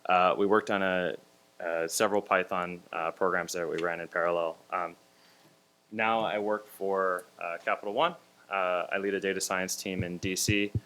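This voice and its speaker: A man's voice: deep voice, fairly even intonation, normal speed, a bit bright. A man from Hawaii introducing himself to a tutorial audience and polling the room, with many hesitant fillers. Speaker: tutorial instructor.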